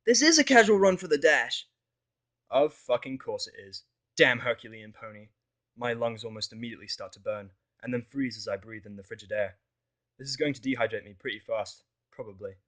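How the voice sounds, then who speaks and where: A man narrating a fan fiction audio drama in the first person, expressive and wry. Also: fairly low pitch, brisk.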